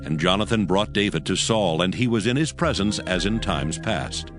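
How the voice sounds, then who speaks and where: A male narrator reads scripture with solemn, measured weight. His voice is low yet moves expressively in pitch, with music clearly playing underneath. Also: lively intonation.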